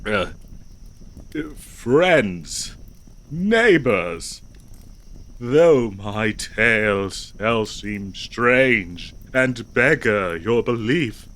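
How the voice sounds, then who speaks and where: A man playing a flustered, devoted nineteenth-century gentleman in a comedic audio roleplay, verbose and old-fashioned, with a nervous stammer. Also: medium pitch. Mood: grandly pleading.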